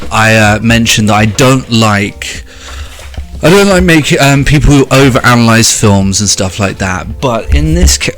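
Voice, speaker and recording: Male, late 20s, mid-range voice; snarky commentary with jumpy, exaggerated emphasis over quiet background music. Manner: sarcastic, swearing, big pitch jumps for emphasis. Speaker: video commentator. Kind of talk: sarcastic commentary monologue.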